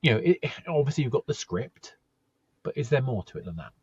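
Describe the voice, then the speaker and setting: A man hosting a podcast interview, talking in a thoughtful, halting way and often repeating words as he starts a sentence. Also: mid-range voice, big pitch swings, a little mellow, husky, no room sound, lo-fi sound. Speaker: podcast host. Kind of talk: casual conversational interview.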